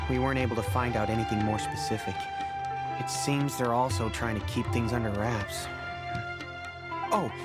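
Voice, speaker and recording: An earnest, friendly male mercenary from a video game, voiced by a man. He explains in a medium-pitched, casual voice, with music clearly playing behind him. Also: close to an everyday voice.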